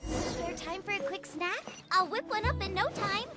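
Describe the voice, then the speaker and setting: A woman's voice: extremely high pitch, normal speed. A woman voicing a tiny, cheeky, childlike fairy companion in a video game, chirpy and exclamatory. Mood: cheeky, curious. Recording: loud children's game music behind.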